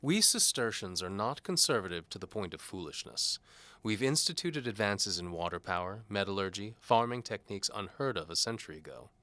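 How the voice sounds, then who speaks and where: A man's voice: on the low side, expressive, a little fast, bright, forceful tone, no echo, voice pushed up. A man playing a zealous, pompous older medieval bishop who speaks in a didactic, commanding way in an audio drama. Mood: haughty, certain. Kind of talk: audio drama character acting.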